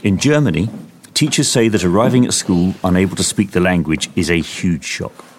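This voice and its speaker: A man reading scripted narration for a documentary podcast in a measured, steady way. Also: low voice, a little hiss or hum.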